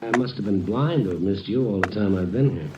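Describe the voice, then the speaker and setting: An American actor playing a wry, hard-boiled big-city newspaper reporter in an old radio detective drama, narrating and acting out scenes. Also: male voice, lowish voice, expressive, a little fast, far from the mic, background noise, lightly acted. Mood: coaxing, probing. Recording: muffled, roomy old radio recording, music behind.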